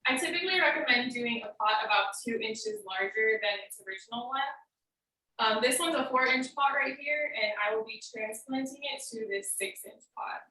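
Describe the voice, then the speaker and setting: A woman with a fairly high, bright voice gives an instructional speech. She explains step by step in a casual, student-presenter way. The sound is very echoey and a little distant from the microphone. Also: normal speed. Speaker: student giving a demonstration speech. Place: indoor room on a recorded video meeting.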